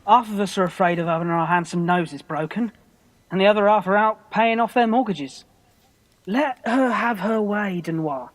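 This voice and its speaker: Man acting a frank soldier, very high-pitched. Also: moderate pace, close to an everyday voice. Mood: wry, approving. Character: rough, good-humoured veteran soldier, frank and supportive. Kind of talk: radio play acting.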